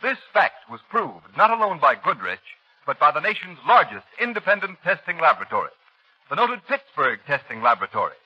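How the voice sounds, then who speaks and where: A man's voice: a little high, wildly swooping pitch, brisk, slightly rough. A man announcing a scripted commercial in the style of 1930s radio. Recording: muffled 1930s radio recording.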